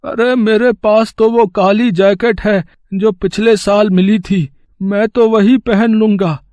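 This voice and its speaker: A man voicing a poor, kindly, selfless older family man in an animated moral story, affectionate and reassuring. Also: sits high, slow, no echo.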